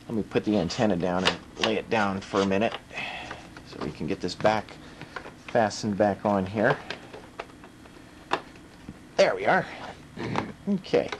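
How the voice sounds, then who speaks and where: American man, casual demonstrator, halting step-by-step explanations, home camera microphone, faint noise. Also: on the low side. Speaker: video presenter demonstrating a radio. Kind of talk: casual unscripted product demonstration.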